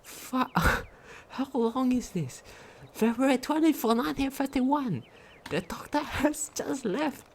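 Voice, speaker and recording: A woman's voice: high voice, slow, a little mellow. A woman reading a game diary aloud as a video commentator, sometimes stumbling over her words.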